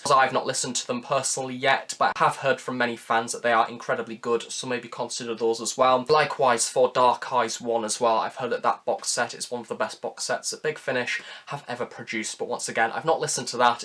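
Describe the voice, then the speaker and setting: Mid-pitched man reviewing informally. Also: fairly bright tone. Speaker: video reviewer.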